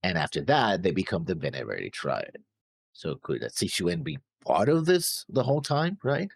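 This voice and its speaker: A man co-hosting a reaction show, deliberately laying out his theories from prepared notes. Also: deep voice, huge pitch swings, vocal fry. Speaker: co-host of an anime reaction show.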